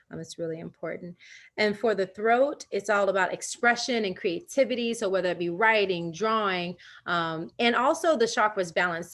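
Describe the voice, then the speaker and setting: A woman's voice: lowish voice, fairly bright tone. A woman leading a live virtual wellness workshop from home over a video call, talking in a chatty, personal way and laughing often.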